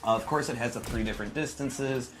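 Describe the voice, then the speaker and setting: A man's voice: mid-range voice, fairly quick, noisy background. An enthusiastic man presenting a video game demo in a casual commentary style. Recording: music playing behind the voice.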